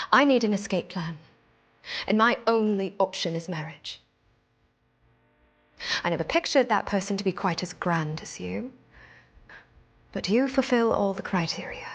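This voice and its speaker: A woman's voice: medium pitch, breathy edge. An actress playing a determined young noblewoman in a period romance drama, with earnest, formal old-fashioned diction. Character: determined young noblewoman seeking escape through marriage.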